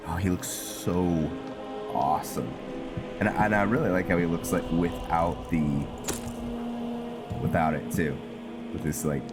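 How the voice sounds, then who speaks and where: Low slow guy doing casual game commentary, kinda halting, thinking out loud, loud music behind him. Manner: musing aloud, hesitant, halting. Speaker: gamer playing and narrating a fantasy roleplay series. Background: loud game music under the voice.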